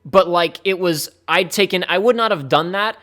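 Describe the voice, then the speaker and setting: High-voiced young man rambling on stream. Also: moderate pace, bright, forceful tone. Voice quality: fairly high-pitched voice. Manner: rambling, candid, speeds up and trails off. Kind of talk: casual live-stream talk to chat.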